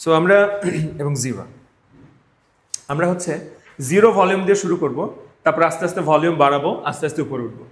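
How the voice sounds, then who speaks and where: A man's voice: fairly high pitch, animated, moderate pace, pauses often. A man with a Bangladeshi accent teaching a live class, emphatic, repeating phrases and checking that students follow. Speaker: spoken English teacher. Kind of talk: live classroom instruction with drills. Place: classroom, indoors. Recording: echoey room.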